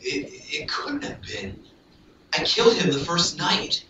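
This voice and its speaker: A man's voice: sits high, sing-song, unhurried, dull audio, background noise. A man playing a desperate, melodramatic villain professor who was addicted to being a werewolf, in an amateur audio drama. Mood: subdued, remorseful. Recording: echoey, distant hobby recording over music.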